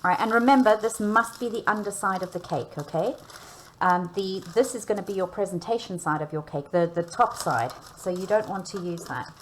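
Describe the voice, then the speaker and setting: A woman's voice: mid-range voice, brisk, slight background noise, poor audio. A woman giving a hands-on baking demonstration, talking learners through each step in a chatty, instructional way and often checking in. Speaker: cooking and baking teacher.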